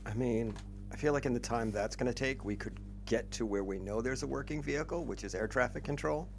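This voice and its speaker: A man's voice: medium pitch, a little fast, creaky, slight background noise. A man playing a tabletop roleplaying game, reasoning aloud casually in a wry way. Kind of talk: casual group chat.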